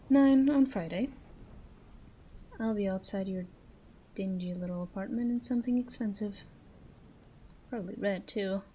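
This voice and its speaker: A woman's voice: medium pitch, dark tone, slight background noise, close to an everyday voice. A woman playing a flirty, self-assured female mafia boss in a roleplay audio drama, coy and teasing as she speaks straight to the listener. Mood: confident flirtation. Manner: coy, teasing, drawn-out phrasing with breathy asides.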